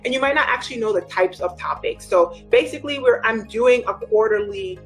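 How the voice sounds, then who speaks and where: A woman's voice: fairly high pitch, a little fast, soft background music. A woman speaking as a life coach in a casual, chatty explainer, addressing her online followers directly and informally.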